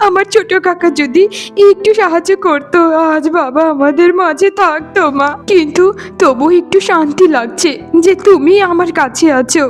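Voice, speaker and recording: A woman plays a devoted grown daughter mourning in a cartoon melodrama. The voice is high and plaintive, full of sentiment. Faint music sits behind it. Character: tearful, devoted grown daughter grieving her father. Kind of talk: melodramatic Bengali cartoon dialogue.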